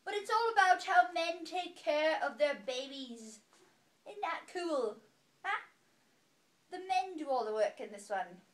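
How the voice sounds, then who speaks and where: A woman with a high, very animated voice talks to a small child during a read-aloud. Her delivery is playful and sing-song, moving casually between the story and chat. It sounds like a simple home recording. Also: a little slow. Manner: playful, sing-song child-directed talk with dramatic gasps. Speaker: caregiver reading to a small child.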